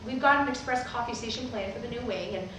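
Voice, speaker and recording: A pragmatic, businesslike preacher's wife acted by a woman in a stage play. She talks quickly in a slightly high voice, heard from a distance in a very echoey hall. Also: natural voice. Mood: brisk, insistent. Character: pragmatic, brisk pastor's wife running church business. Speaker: actress in a staged reading. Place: theatre at a live staged reading. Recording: echoey, noisy hall recorded from far off.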